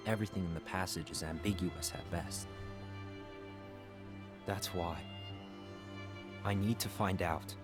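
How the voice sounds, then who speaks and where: A troubled young male leader from a fantasy game, with a lowish voice. He is earnest, brooding and intense, speaking over a prominent musical score. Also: a little slow, long pauses, music in the background. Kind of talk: dramatic game cutscene acting.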